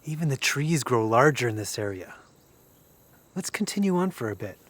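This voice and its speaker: A man's voice: mid-range voice, sing-song, moderate pace, a bit bright. An actor playing a brash, take-charge young man in an audio drama, talking in a casual, coarse way. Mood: casual, leading.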